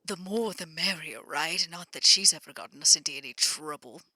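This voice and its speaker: A woman playing an exasperated, sarcastic vampire businesswoman in an improvised roleplay, with a halting, dry delivery. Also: deep voice, pushed and bright, breathy edge, no echo, full character voice. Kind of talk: improvised tabletop roleplay, phone conversation.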